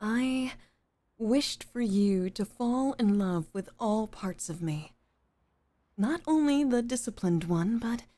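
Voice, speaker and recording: A woman playing a composed, formal head maid as a devoted girlfriend in an intimate ASMR roleplay, speaking softly and often near a whisper. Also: mid-range voice, no echo, close to an everyday voice. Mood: affectionate, intimate. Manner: soft, measured, formal, often dropping toward a whisper.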